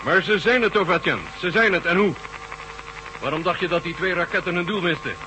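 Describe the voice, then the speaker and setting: A curt, authoritative military marshal played by a man, with a slightly high male voice. He issues orders in old science-fiction radio drama style, over loud noise. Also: fairly quick, close to an everyday voice. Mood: urgent, commanding. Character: commanding Soviet marshal directing space operations. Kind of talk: Dutch science-fiction radio play acting.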